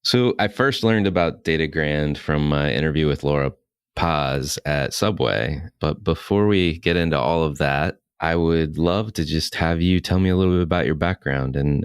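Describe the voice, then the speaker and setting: Very deep-voiced male podcast host. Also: moderate pace, clean tone, no room sound, studio quality. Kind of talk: interview podcast hosting.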